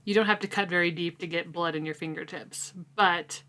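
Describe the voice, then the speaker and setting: A woman co-hosting a casual book discussion podcast, talking in a relaxed, chatty way. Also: lowish voice, fairly quick. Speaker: podcast co-host.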